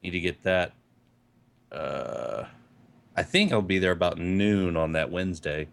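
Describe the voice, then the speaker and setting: Deep-voiced sleepy man. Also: a little slow, vocal fry. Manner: relaxed, easygoing, explains at length. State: just woken up. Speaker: podcast co-host. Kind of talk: casual live-stream chat.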